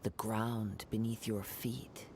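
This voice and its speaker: Calm, gravelly young samurai. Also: male voice, fairly low pitch, slight background noise. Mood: composed. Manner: formal, measured, softly observant. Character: calm poetic young male wandering samurai. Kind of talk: video game dialogue. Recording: game audio under music.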